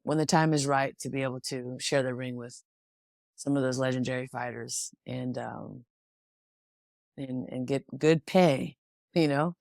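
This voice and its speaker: A woman's voice: a bass voice, fairly even intonation, measured pace, creaky, darkish tone, no echo, studio quality. A young American woman from Washington State, a pro boxer, talking reflectively as an interview guest with frequent restarts. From Washington State, USA. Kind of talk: casual interview conversation.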